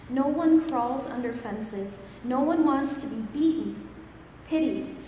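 A woman's voice: on the high side, warm tone. Woman reciting solemn poetry in echoey church. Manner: measured, serious, emphatic phrasing. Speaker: reader at church service. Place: large church interior. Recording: echoey church hall, faint background noise.

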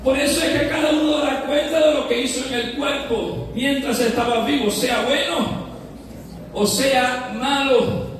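A man with a very high voice preaches a fervent sermon, shouting at the peaks and slowing to stress key words. He is heard from a distance in a church sanctuary, with a long, ringing reverb. The room sound is strong around every phrase. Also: a little hiss or hum. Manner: fervent preaching with shouted rises and slow emphasis. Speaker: pastor. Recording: echoey church hall from far off.

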